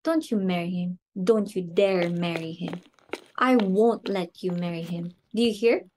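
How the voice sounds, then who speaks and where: A woman's voice: fairly low pitch, measured pace, a little mellow, slight background noise, close to an everyday voice. A woman playing a flustered, snobbish society hostess and mother in an amateur dramatic reading, exclamatory and imperious. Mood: bitter, indignant.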